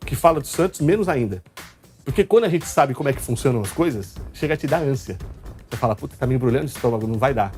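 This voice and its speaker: A man's voice: medium pitch, expressive, quick pace, darkish tone. A man with a São Paulo state Brazilian accent hosting a live football talk show in a chatty, colloquial, teasing way. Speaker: host. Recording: music under the voice. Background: background music.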